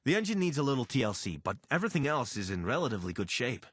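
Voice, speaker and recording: An actor playing an anxious, wry deserter soldier and pilot in a video game, speaking in a conversational, earnest way. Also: male voice, medium pitch, big pitch swings, rapid-fire, fairly bright tone, slightly rough. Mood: easygoing. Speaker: voice actor for a companion character. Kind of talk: video game character dialogue.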